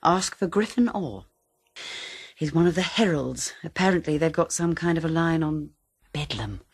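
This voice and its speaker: A woman acting in a radio drama as a spy's brisk, lightly teasing secretary. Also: low-pitched, animated, breathy edge, no echo, clean studio sound, exaggerated voice. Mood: brisk, playful.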